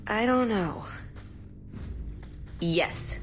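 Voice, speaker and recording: A woman's voice: mid-range voice, lively intonation, drawn-out words, soft background music. A woman playing a wry, determined young female elf in a video game, speaking in a dry, earnest way. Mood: serious, guarded. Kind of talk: video game dialogue acting.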